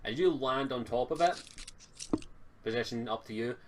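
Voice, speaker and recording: A man improvising narration as a tabletop game master, speaking casually and haltingly, sometimes swearing, on an amateur online recording. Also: mid-range voice, noisy background.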